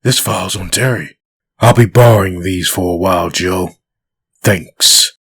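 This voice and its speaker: A man acts out an undead comic-book avenger with a deep, raspy voice. He speaks with cold, controlled menace, like someone used to making threats. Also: heavily acted. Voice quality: husky, rough voice. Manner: slow, quiet menace. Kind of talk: comic dub acting.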